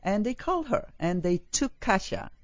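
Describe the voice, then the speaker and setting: A woman's voice: mid-range voice, sing-song, normal speed. A woman hosting a talk show interview, gushing and enthusiastic, with many fillers. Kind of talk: effusive interview chat. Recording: dull lo-fi, dry.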